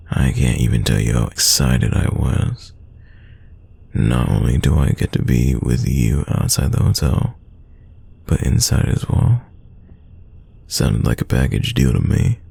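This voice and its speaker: Guy playing smitten teasing friend, super gravelly and mellow, soft flirty delivery, close dry sound. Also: no room sound, exaggerated voice. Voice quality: very deep, gravelly voice. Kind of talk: romantic roleplay audio, intimate one-sided dialogue.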